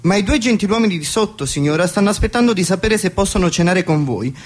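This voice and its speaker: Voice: medium pitch, lively intonation, lightly acted. A performer playing a dutiful male butler in a 1920s radio comedy, formal and deferential in manner. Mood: formal, reporting. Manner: formal, deferential, measured announcements. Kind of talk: radio play acting of a 1920s stage comedy.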